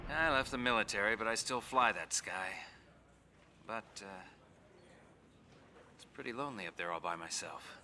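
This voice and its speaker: A man's voice: lowish voice, background noise. A man playing a lonely, thrill-loving veteran fighter pilot, talking reflectively and conversationally in a game interview. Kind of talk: documentary-style interview monologue.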